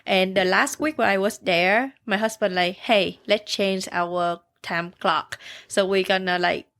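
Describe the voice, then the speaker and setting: A woman's voice: mid-range voice, steady tone, measured pace, fairly bright tone. A young woman from Vietnam with a thick Vietnamese accent, a bakery owner telling her story in a podcast interview, using simple broken English with fillers. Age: young adult. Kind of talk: casual interview chat.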